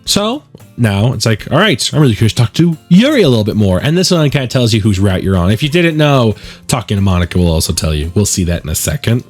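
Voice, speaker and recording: Male let's play host, very animated pitch, casual gaming commentary, music underneath. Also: quick pace. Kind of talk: gaming commentary and read-aloud narration of a visual novel.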